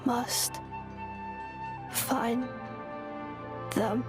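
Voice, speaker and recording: A woman voicing a dazed, single-minded humanoid robot in a video game, speaking in halting, whispery fragments. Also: a little high, level tone. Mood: dazed, searching. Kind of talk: video game character acting. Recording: studio voice over game music.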